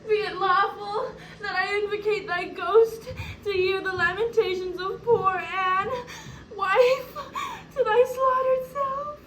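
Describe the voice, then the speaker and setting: Very high-pitched, grieving vengeful young noble widow, slow declamatory Shakespearean stage delivery, emotional, distant noisy roomy recording. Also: female voice. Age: young adult. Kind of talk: classical Shakespearean stage acting.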